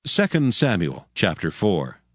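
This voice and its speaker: A man narrating scripture in a solemn, scripted style, measured, formal and stately. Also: deep voice, huge pitch swings.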